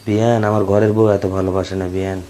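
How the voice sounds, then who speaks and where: A Bangladeshi man speaks in a quick, relaxed, low voice. He is chatting casually and flirtatiously over the phone, on a recording with some faint noise. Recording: noisy phone call recording.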